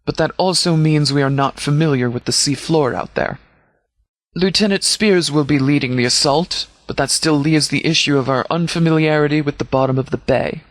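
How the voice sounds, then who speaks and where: A man's voice: fairly high pitch, no echo, natural voice. Stern, composed sheriff, acted by a man, dry studio sound. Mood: businesslike briefing. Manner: measured, formal, authoritative. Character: stern, composed male sheriff leading a post-apocalyptic militia. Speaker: sheriff and commander in a video game. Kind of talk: scripted video game dialogue.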